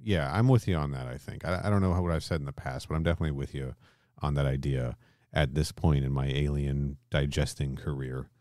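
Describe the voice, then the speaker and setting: Male podcast co-host, extremely deep voice, loose and emphatic conversational delivery, very little room sound. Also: fairly even intonation, a little fast, a little mellow, no room sound, studio quality. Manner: emphatic, casually sweary. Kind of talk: casual discussion and film plot recap.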